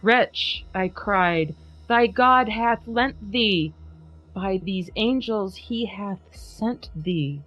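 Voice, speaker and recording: Slow dramatic woman reading poetry. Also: mid-range voice, muffled recording, faint music behind. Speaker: podcast host. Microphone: video call.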